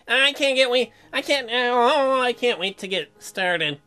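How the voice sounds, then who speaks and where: Man playing an eager, high-voiced woman mechanic. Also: animated, a little slow, sharp tone, soft background music, heavily acted. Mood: eager, curious. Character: eager young newlywed woman mechanic, earnest colonist.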